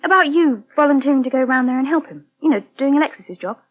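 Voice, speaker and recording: An actress playing a distracted, gently anxious young English waitress in a 1980 British radio soap, hesitant and faltering. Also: female voice, high voice, animated, quick pace. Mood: awkward, anxious. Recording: dull, band-limited old radio recording.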